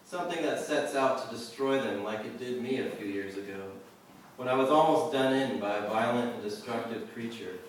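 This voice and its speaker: A man giving a live poetry reading in a measured, deliberate way, pausing at the ends of lines. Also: mid-range voice. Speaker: poet. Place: indoor reading venue with a live audience. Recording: very echoey room, distant mic, faint noise.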